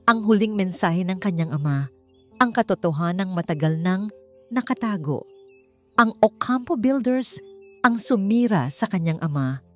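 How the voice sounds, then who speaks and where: A woman's voice: medium pitch, lively intonation, moderate pace, soft background music. A woman narrating a scripted romance story in a dramatic storytelling style.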